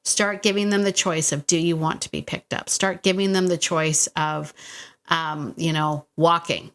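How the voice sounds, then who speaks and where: A woman with a somewhat low voice hosts a solo podcast. She speaks straight to the listener in a casual, chatty way, cutting into her own sentences as she goes. The delivery is informal and encouraging. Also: steady tone, measured pace. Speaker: podcast host and dog trainer.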